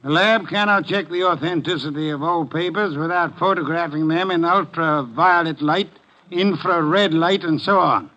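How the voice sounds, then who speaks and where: Pompous brainy detective, grumpy, very exact wording, higher male voice, old muffled radio show. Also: moderate pace, bright, forceful tone. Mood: imperious, measured. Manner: pompous, precise, formal diction. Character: imperious, grumpy, cerebral male private detective. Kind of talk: 1950s radio drama acting. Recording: muffled vintage 1950 radio recording.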